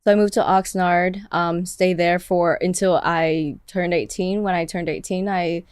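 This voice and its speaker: A woman's voice: on the low side, steady tone, measured pace, a little mellow, clean tone, no room sound, studio quality. A young Vietnamese-born woman whose first language is Vietnamese, a chef telling her story in a casual interview, chatting with lots of "like" fillers. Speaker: chef guest.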